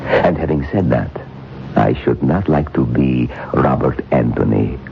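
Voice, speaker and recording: An actor playing an arrogant, vengeful occult scholar in a radio drama, with theatrical, formal diction. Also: male voice, extremely low pitch, unhurried, gravelly, faint music behind, heavily acted. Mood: solemn, ominous. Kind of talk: 1970s radio drama acting. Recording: dull old radio recording with faint noise.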